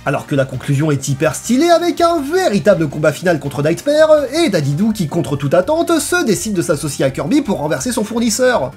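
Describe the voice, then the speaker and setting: A narrator delivering a scripted video review in an expressive, emphatic way. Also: mid-range voice.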